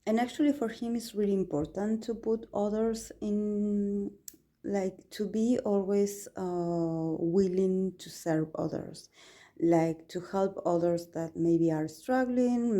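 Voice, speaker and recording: A woman's voice: mid-range voice, slow, mellow. A young woman who is not a native English speaker, giving a casual solo tarot reading, talking hesitantly with many fillers and restarts. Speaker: tarot reader.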